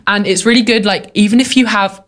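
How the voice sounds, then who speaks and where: A woman's voice: mid-range voice, steady tone, brisk. A young woman with a stuffy cold voice, co-hosting a talk show in casual chat, full of "like" and "um". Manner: chatty, many fillers like "like" and "um". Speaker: podcast co-host.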